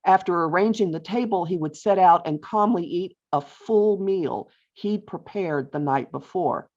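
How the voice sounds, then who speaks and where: A middle-aged or older woman reading her own humorous essay aloud with deliberate phrasing and wry emphasis, as an author on a video call. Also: lowish voice, darkish tone. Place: virtual author talk over video call. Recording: dull, lo-fi online call audio.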